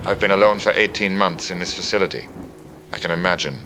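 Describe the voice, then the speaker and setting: A man playing a somber, analytical survivor radio operator, measured and bleak, in an audio drama. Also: low-pitched, pushed and bright, slight background noise, low-quality recording. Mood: haunted. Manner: measured, matter-of-fact, bleak. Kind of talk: post-apocalyptic audio drama acting.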